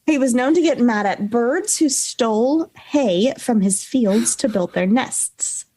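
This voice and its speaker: A woman's voice: fairly high pitch, animated, takes it slowly, warm tone, dry recording. A woman co-hosting a podcast, telling a researched story in a casual way. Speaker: podcast co-host. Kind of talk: storytelling from notes with casual banter.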